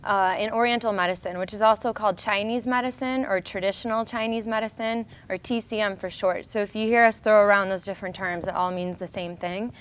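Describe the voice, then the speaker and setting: Clear-voiced American woman hosting. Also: medium pitch, steady tone, fairly quick, hardly any pauses. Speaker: show host, acupuncturist. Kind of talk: informal educational presentation.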